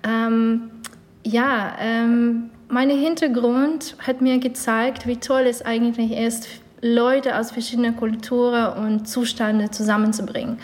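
A woman's voice: on the high side, little pitch movement, a little slow, a little mellow. A woman from Ukraine, raised in Canada, speaking German as a second language, hesitant with many fillers. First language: not German. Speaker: yoga teacher, guest. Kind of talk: interview.

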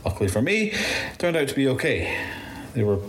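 A man acting as a weary, bitter survivor of the zombie apocalypse gives a confessional account in a mid-pitched voice. He stammers and halts, swearing freely. The sound is roomy and echoing, with faint noise behind him. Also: big pitch swings, a little fast, natural voice. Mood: subdued, relieved. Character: shaken, bitter male zombie apocalypse survivor recounting his ordeal. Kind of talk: first-person audio drama monologue, confessional.

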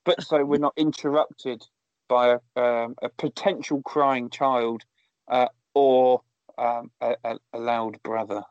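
A man co-hosting a podcast from a small garden shed, chatting in a wry, rambling, deadpan way. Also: mid-range voice, takes it slowly, dry recording, highs cut off. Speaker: podcast co-host. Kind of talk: casual chat.

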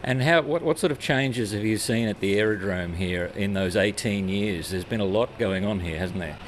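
A woman, interviewing at a regional airport, who asks unhurried questions and gives brief prompts. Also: rumbling low, slight background noise.

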